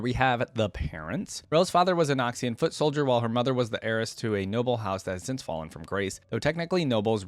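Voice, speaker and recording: A man's voice: fairly low pitch, no room sound. A man hosting a reaction video, reading lore aloud and adding informal, conversational commentary.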